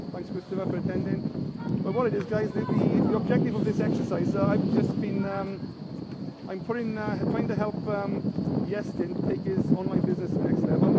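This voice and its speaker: A middle-aged man with a strong Welsh Valleys accent, chatting on a live stream and greeting viewers by name. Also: sits high. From Wales. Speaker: internet marketing coach. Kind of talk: casual live stream chat. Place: outdoors by a bay. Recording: loud outdoor wind and traffic.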